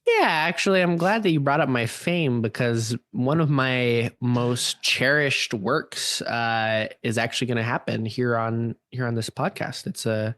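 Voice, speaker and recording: A man with a medium-pitched voice chats on a podcast in a dry, close recording. His delivery is halting and deadpan, with tongue-in-cheek self-importance. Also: no echo. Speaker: podcast co-host and playwright. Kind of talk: tongue-in-cheek casual chat.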